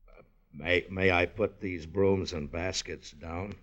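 Earnest, slow-spoken young peddler. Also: male voice, sits low, steady tone, a bit bright, lo-fi sound, a little hiss or hum. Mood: flustered. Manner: hesitant, plodding, earnest. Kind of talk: 1950s radio drama acting.

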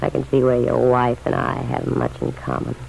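A woman's voice: extremely low pitch, very animated, moderate pace, vocal fry, darkish tone, heavily acted. An actress playing a bitter, pleading, lovelorn city woman in a vintage radio drama, blunt, slangy and insistent. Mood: wistful, probing. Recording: dull, noisy old radio recording.

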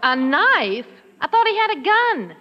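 An actress playing a sharp-witted, sceptical housewife in a 1940s radio comedy, sarcastic and challenging. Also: female voice, very high-pitched, very animated, a little slow, exaggerated voice. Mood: doubting. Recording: reverberant, dull old radio recording.

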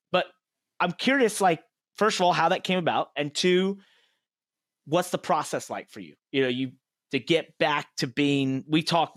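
A man's voice: mid-range voice, dry recording. Upbeat man hosting a podcast, dry sound. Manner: upbeat, laughing, chatty. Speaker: podcast host and racing driver. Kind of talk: casual podcast chat.

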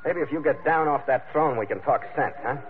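An actor playing a terse, wry sea captain in a 1940s-style radio adventure, both narrating and speaking in scenes. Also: male voice, fairly high pitch, a little fast, natural voice. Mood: wry, tough. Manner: terse, sardonic, tough-guy delivery. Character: hard-boiled, wry sea captain telling his adventure. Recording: narrow vintage radio recording, music behind.

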